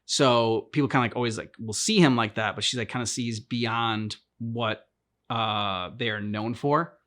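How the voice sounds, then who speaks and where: A man hosting a reaction channel, casually discussing a game's story in a halting way, repeating words as he thinks aloud. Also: medium pitch, fairly bright tone. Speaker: host of a game reaction channel.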